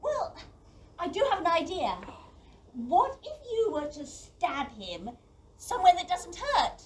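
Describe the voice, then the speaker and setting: High, lively young friend played by a woman. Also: takes it slowly, exaggerated voice. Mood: confident. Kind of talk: community theatre audio play acting.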